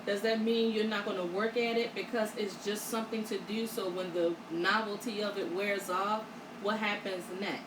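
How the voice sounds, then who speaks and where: A woman hosting a casual panel discussion, reflective and steady, often affirming the others. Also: mid-range voice, moderate pace. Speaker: host. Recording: echoey room, distant mic, audible noise.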